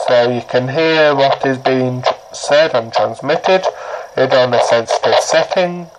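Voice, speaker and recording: Slow-paced man in a noisy amateur recording. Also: medium pitch. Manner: halting, repeats words and phrases. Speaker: product demonstrator. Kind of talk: casual rambling how-to explanation.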